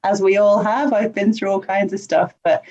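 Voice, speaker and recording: A woman answers interview questions in an earnest, chatty way, with ums and soft laughs between thoughts. Her voice sits at a middle pitch. The audio comes through dull and a little lo-fi, like a remote call. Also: fairly even intonation, normal speed. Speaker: podcast guest, life coach.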